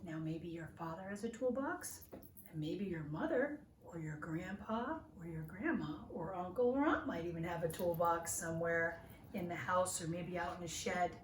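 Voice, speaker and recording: A woman reading a children's story aloud like a teacher, with deliberate, simple phrasing for small children. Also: on the low side, expressive. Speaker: teacher reading a picture book to young children. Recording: roomy echo, distant mic, faint noise.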